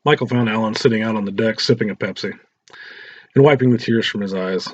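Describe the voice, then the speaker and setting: A man's voice: fairly low pitch, fairly quick. A man narrating a scripted story as an audiobook reader. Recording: roomy echo, dull lo-fi sound.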